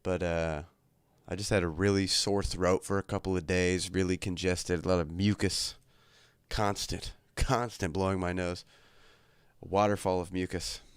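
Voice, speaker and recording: A man's voice: low voice, level tone, normal speed, clear, resonant tone, no echo. A young man hosting a casual livestream from his bedroom, chatting in a laid-back, crude, joking way, slightly congested from a cold. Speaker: livestream host.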